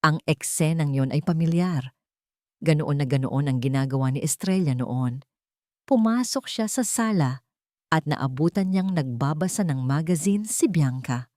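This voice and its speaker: A woman reading a scripted story as a dramatic, expressive storyteller. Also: low-pitched, measured pace, no echo, clean studio sound.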